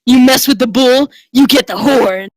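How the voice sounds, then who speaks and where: A woman's voice: high-pitched, moderate pace, no room sound, voice pushed up, poor audio. A woman voicing a huge, angry male bull creature uttering threats in a fantasy story. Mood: angry challenge.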